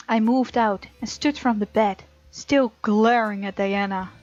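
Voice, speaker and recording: A woman with a fairly high voice narrates a game as she plays, reading the text dramatically and throwing in sassy reactions. The recording is slightly dull and lo-fi, with soft game music behind her. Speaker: gaming channel host.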